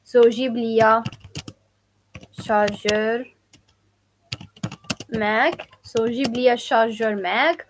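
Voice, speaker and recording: Young woman, Moroccan accent, live-stream language teacher, chatty, slow repetition, somewhat high voice. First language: Moroccan Arabic. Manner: chatty, repeats phrases slowly for learners.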